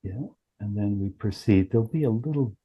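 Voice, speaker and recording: A man giving a casual software demonstration in a halting way, thinking aloud as he goes. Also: fairly low pitch, slightly rough, muffled recording. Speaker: company founder demonstrating software. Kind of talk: casual product demo.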